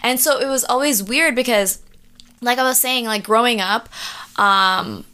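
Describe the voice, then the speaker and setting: Young woman from Jamaica, casual conversation, emphatic, keeps checking you're following, voice sits a bit high. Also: measured pace, clean tone. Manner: animated, emphatic, keeps asking "do you know what I'm saying?". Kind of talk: casual chat.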